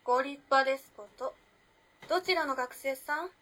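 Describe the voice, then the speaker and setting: A woman plays a young modern lady in a period radio drama. Her voice sits high, and she speaks with cool poise. She is playful and enigmatic, teasing in elegant, old-fashioned feminine phrasing. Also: normal speed, bright, forceful tone. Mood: playfully mysterious. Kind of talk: Japanese radio drama acting.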